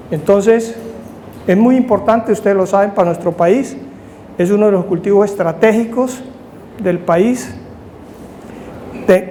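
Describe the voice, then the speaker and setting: Older colombian guy lecturing at a seminar, soft dark tone, kinda high voice, stops and restarts, bit of hiss. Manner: measured, halting, restarts phrases in short bursts. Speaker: university lecturer, agronomist. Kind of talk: academic conference lecture. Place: university seminar room. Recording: faint background noise.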